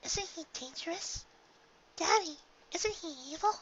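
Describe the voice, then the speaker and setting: A man voicing a timid, anxious young female pegasus in a storybook reading, with a soft, hesitant, breathy falsetto. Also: lively intonation, measured pace, lo-fi sound, background noise. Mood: fearful pleading. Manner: hesitant, pleading.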